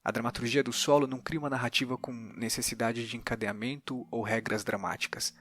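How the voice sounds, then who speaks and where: A man with a Brazilian accent, a podcast host speaking in a measured, articulate explanatory way. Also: mid-range voice, fairly even intonation, fairly bright tone, dry recording. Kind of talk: explanatory podcast narration and interview.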